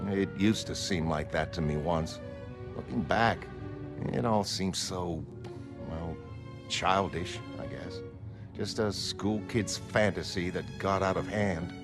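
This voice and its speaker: An actor playing a mild, self-doubting middle-aged American retired crime fighter in a motion comic, talking in a hesitant, reflective way. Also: male voice, low-pitched, slow, over music. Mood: wistful, awkward. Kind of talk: dramatic motion comic character acting.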